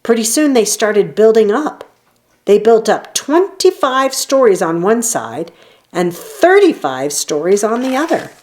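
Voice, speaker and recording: A woman reading a children's story aloud in an expressive storytelling manner, leaning on key phrases. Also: fairly high pitch, measured pace. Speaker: storybook reader. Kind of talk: children's read-aloud narration.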